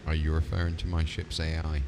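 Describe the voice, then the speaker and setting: A man's voice: monotone, brisk. A man role-playing as a ship's commander in conversation with game voices. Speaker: game player in character as ship commander. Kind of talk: role-played dialogue with game voice pack. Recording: clear ambient rumble behind.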